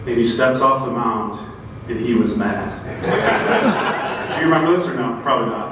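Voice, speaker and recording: A man's voice: medium pitch, moderate pace. Man telling a ballgame story, echoey hall. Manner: animated anecdote-telling, acting out quotes. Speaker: former player giving a speech. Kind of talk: storytelling speech at a banquet. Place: banquet room. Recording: echoey room, distant mic, faint noise.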